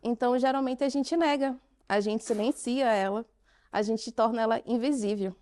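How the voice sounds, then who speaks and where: Woman, Brazilian Portuguese accent, fairly high voice, conference talk, engaging and emphatic. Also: warm tone. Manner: engaging, questions the audience, emphatic pauses. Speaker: conference speaker.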